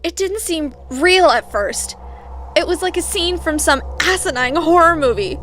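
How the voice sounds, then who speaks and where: Brief: woman as a high-voiced, haughty heiress narrator. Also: a little slow, a bit bright, slight background noise. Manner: haughty, dramatic storytelling. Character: spoiled rich teen girl, narrating to her father. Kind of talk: fan audio drama narration.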